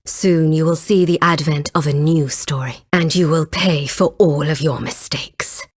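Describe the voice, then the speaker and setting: Young female inquisitor, imperious, vengeful, theatrical, deliberate phrasing, medieval fantasy game drama. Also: sits low, steady tone, husky. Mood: haughty, scornful. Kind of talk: dramatic video game voice acting.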